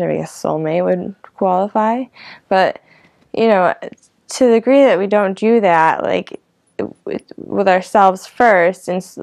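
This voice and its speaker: Low, creaky-voiced woman, casual and rambling. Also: a little mellow, dry recording. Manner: informal, meandering, filler-heavy with frequent "like" and "you know". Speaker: host of her own online show. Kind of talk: casual rambling vlog reflection.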